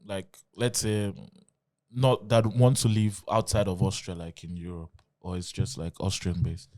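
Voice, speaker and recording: A man with a lowish voice co-hosts a podcast in a loose, casual way, hedging often. The sound is dry and close. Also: level tone, unhurried, a little mellow, no room sound. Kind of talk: casual chat.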